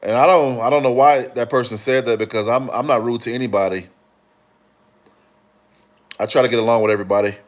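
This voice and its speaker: A man from Chicago hosting a casual livestream, answering comments in a relaxed, rambling way with frequent fillers and restarts. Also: medium pitch, fairly quick, long pauses. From Chicago, Illinois. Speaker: livestream host.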